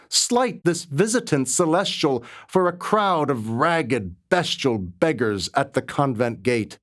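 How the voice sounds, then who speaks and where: A man reciting narrative poetry in a measured, dramatic style, with solemn, reverent phrasing and deliberate pauses. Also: fairly high pitch. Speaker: poetry reader.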